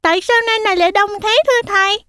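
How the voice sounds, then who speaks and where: Little cartoon goat kid, extremely high and squeaky, childlike, curious, music underneath. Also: female voice, rapid-fire, bright, forceful tone, no echo, studio quality. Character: small young goat kid, wary and curious.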